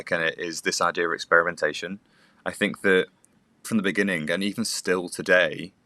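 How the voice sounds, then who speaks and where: A man's voice: low voice, sharp tone, dry recording. A man hosting a podcast, thoughtfully summing up his guest's points in discussion. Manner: reflective, summarizing. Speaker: podcast host.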